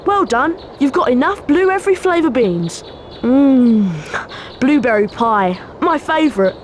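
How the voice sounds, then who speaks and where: A woman's voice: sits high, very animated, measured pace, a little hiss or hum. A woman voicing a chatty, playful schoolchild who trades sweets for secrets in a video game. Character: chirpy schoolchild trading sweets for passwords. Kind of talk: video game character acting.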